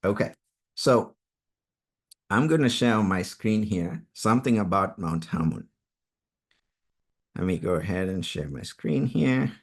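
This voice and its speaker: A man's voice: low voice, animated, a little slow, long pauses, husky. A man whose first language is Swahili leads an online Bible study over a video call, explaining earnestly and emphatically. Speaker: study group leader.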